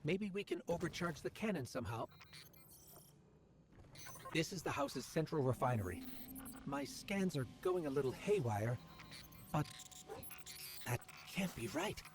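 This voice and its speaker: A man's voice: on the high side, huge pitch swings, measured pace, long pauses, over music, full character voice. A man voicing a helpful robotic companion in a video game, speaking in a precise, curious, earnest manner. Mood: puzzled. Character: inquisitive, analytical robotic companion, male, helpful. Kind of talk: scripted video game dialogue. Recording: clear background noise of game ambience.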